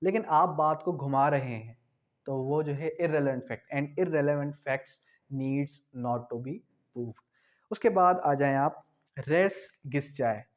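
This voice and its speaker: Guy from pakistan teaching law, asks then answers himself, kinda muffled recording. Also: mid-range voice. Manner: repeats key terms slowly, asks and answers own questions. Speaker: law tutor. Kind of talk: exam-prep law lecture.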